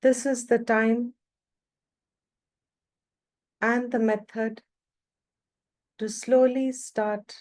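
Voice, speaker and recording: A woman with a fairly high voice guides a meditation at a very slow pace. She gives calm, unhurried instructions in short phrases, leaving long pauses between them.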